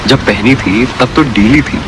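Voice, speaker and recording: A man's voice: mid-range voice, a little slow, background noise, close to an everyday voice. A man playing a poor, honest woodcutter in a cartoon story, earnest, plaintive and given to exclaiming. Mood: alarmed. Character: poor, desperate, honest woodcutter, husband and father. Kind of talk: Hindi cartoon moral story acting.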